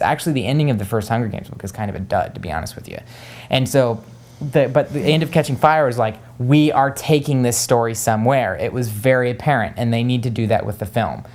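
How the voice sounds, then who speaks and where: A man's voice: medium pitch, darkish tone. Emphatic man in casual discussion. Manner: emphatic, repeats phrases for emphasis. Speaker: co-host of a book discussion video.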